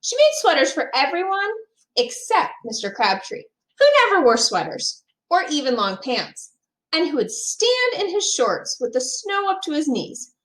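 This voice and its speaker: A woman reading a picture book aloud as a teacher would, expressive and emphatic for young children. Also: high-pitched, normal speed, low-quality recording.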